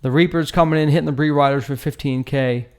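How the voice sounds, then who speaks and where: A man's voice: a little high, fairly even intonation, fast talker, darkish tone. A man hosting a gaming channel, giving casual commentary as he analyses a battle, with informal, slangy, joking asides.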